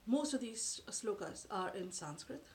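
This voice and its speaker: Measured woman talking to camera. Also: mid-range voice, animated, a little mellow, a little hiss or hum. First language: Tamil. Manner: measured, thoughtful, with frequent um and uh pauses. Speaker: vlogger. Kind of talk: explanatory talk to camera. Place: living room, seated on a sofa. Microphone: camera in front at close range.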